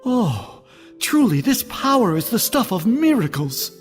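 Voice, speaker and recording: A man's voice: sits high, big pitch swings, a little slow, soft background music. A man voicing a devout, awed believer in a video game, speaking with formal reverence. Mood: awed, reverent. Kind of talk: English game voice acting.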